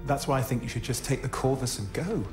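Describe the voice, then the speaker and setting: An earnest, selfless soldier, played by a man in a video game cutscene. He speaks at a medium pitch with music clearly audible behind him. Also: animated, fast, lightly acted. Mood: resigned. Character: earnest, selfless male Imperial defector soldier.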